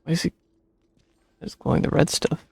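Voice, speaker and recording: A man's voice: moderate pace, a little mellow, dry recording. A man streaming a video game, giving casual commentary and reading game text on a close microphone. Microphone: close streaming microphone, dry. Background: game music.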